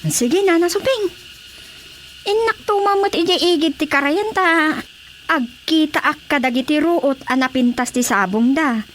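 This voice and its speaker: An actress with an Ilocano accent playing an excitable, eager village woman in a radio drama comedy. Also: high voice, a little slow, a little hiss or hum. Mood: eager, playful. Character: excitable, eager village woman Sita, copying her neighbour.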